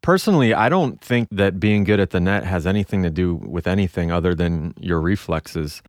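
A man with a deep voice, captured in a dry recording with little room sound. He hosts a podcast informally, in an easygoing conversational style. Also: animated, normal speed, no echo. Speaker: podcast co-host. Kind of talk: casual, informal podcast chat.

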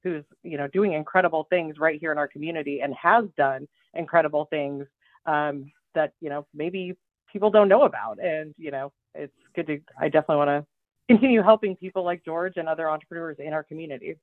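A woman's voice: low voice, a little fast, no room sound. A woman speaking casually in an interview as a business development guest. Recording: thin phone-like band, very dry.

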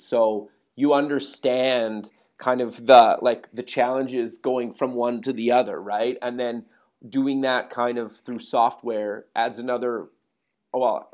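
A man's voice: mid-range voice, darkish tone. A man interviewing a guest in loose, informal phrasing. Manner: loose and informal, says "kinda" often. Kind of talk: casual interview chat.

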